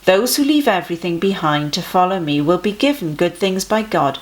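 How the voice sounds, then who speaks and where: A woman voicing a friendly, earnest male teacher in a children's storybook, emphatic and gently instructive. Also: fairly low pitch, lively intonation, moderate pace, natural voice.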